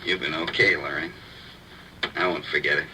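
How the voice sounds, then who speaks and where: Deep, sharp-edged, wary crook. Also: male voice, fairly even intonation, moderate pace, exaggerated voice. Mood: guarded, grateful. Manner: terse, slangy, guarded. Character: sullen, wary wounded male crook in hospital bed. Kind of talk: 1940s-50s radio drama acting. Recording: distant, noisy vintage radio recording.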